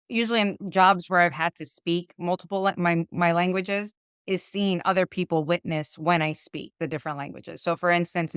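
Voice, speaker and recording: A woman's voice: sits low, a bit bright, clear, resonant tone, no room sound, clean studio sound. A young woman from Chicago, a lawyer, talking casually as an interview guest, with lots of "um" and "like". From Chicago, United States. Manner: chatty, full of "um" and "like" fillers.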